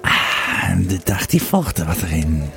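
Man playing a very deep, tipsy Roman legionary. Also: sing-song, creaky, a bit bright, exaggerated voice. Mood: tipsy, musing. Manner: halting, drunken musing with hesitations. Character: tipsy Roman legionary veteran recounting old war tales. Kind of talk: amateur audio drama storytelling. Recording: crowd chatter behind the voice.

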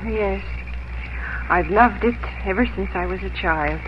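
An actress playing a gentle, dignified young schoolteacher in a 1950s American radio drama, speaking earnestly and formally. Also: female voice, mid-range voice, natural voice. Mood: tender, earnest. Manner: earnest, measured, formal phrasing. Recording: muffled, noisy old radio recording.

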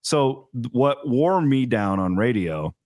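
A man, hosting a casual podcast chat and talking in quick bursts with restarts. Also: medium pitch, dry recording, studio quality. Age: 30s. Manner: energetic, talks in bursts with restarts. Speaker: podcast host.

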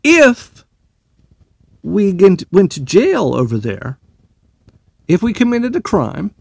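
A man's voice: high-pitched, wildly swooping pitch, takes it slowly, creaky, a little mellow, dry recording, studio quality. A man, a military veteran, delivering a profane, sarcastic and heated opinion monologue.

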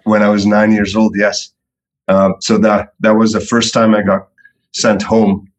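A middle-aged man from Belgium, a guest telling his story in a podcast interview, relaxed and repeating words often. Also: on the low side, unhurried, a little hiss or hum. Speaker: entrepreneur guest.

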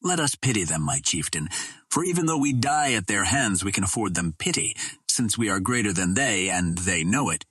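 A man's voice: fairly low pitch, sing-song, moderate pace, fairly bright tone, slightly rough, dry recording, clean studio sound, full character voice. A man voicing a proud, noble Martian princess in formal, stately words for an audiobook. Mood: proud, composed.

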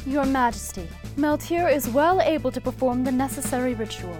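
A woman's voice: high voice, moderate pace, music in the background, close to an everyday voice. A woman playing a devout, genteel cleric in a fantasy audio drama, with formal, precise speech. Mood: courteous.

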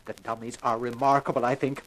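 A man's voice: mid-range voice, little pitch movement, a little fast, lightly acted. A man plays a store display manager in a fifties radio mystery. He is courteous and a little fussy, eager to please in every phrase. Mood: proud, ingratiating. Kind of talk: 1950s radio drama acting.

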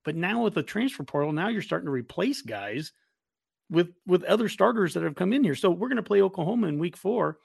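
A man's voice: high-pitched, animated, a little fast, gravelly, slightly rough, no room sound, studio quality. An American man talking casual sports as a podcast host.

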